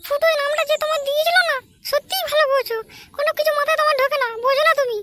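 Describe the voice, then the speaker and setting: A man voicing a young woman in an amateur comedy cartoon, a pleading, nagging girlfriend with a squeaky, whiny voice. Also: steady tone, rapid-fire, sharp tone. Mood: exasperated.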